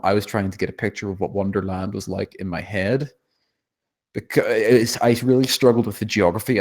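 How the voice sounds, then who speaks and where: Low-pitched man, hesitant and self-deprecating. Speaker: table read participant giving script feedback. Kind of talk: spontaneous critique, thinking aloud.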